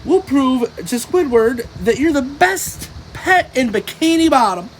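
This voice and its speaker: Man as cartoon sea sponge, high-pitched, eager, gushing, exclaiming, animated storybook character. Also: measured pace, slight background noise. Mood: reassuring.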